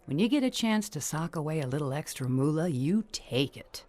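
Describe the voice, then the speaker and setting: An actress playing a brassy, coaxing middle-aged ex-performer with slangy talk in a noir radio drama. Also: female voice, low voice, expressive, moderate pace, darkish tone. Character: Julie, worldly older female ex-performer, brassy, motherly pal. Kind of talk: 1950s-style noir radio drama acting.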